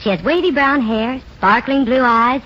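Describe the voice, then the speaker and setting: A woman's voice: fairly high pitch, moderate pace, fairly bright tone. A woman giving a polished, cheery scripted fashion report in a 1940s radio commercial. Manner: polished, cheery promotional delivery. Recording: muffled 1940s radio broadcast, faint noise.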